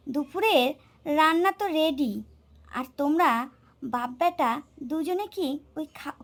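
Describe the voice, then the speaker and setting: A woman plays a weary cartoon housewife and mother. Her voice is high, and she scolds and nags in a bossy way. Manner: nagging, bossy. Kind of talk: cartoon voice acting.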